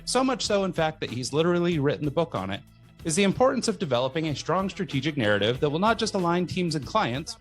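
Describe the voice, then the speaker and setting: A middle-aged man hosting a podcast, reading a cheerful scripted introduction and then chatting casually with quick laughs. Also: on the high side. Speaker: podcast host.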